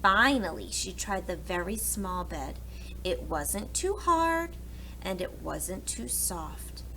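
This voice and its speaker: A woman narrating a children's story aloud for preschoolers, with dramatic and deliberate phrasing. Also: fairly low pitch, animated, pushed and bright, slight background noise, poor audio.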